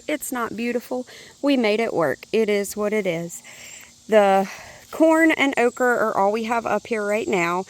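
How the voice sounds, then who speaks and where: A woman vlogging a casual walk-through of her home garden, speaking in a chatty, relaxed way with a hoarse, congested voice. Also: medium pitch. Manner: chatty, relaxed, thinking aloud.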